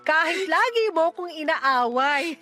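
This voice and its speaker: An actress playing a flighty, doting middle-aged mother in a radio drama, with a breezy, teasing, expressive delivery. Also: very high-pitched, a little slow, soft background music, heavily acted. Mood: tender. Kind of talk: Tagalog radio drama acting.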